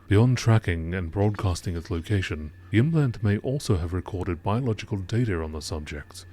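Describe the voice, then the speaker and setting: A man narrating scripted science-fiction lore entries in a measured, formal documentary style. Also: low-pitched, lively intonation, warm tone.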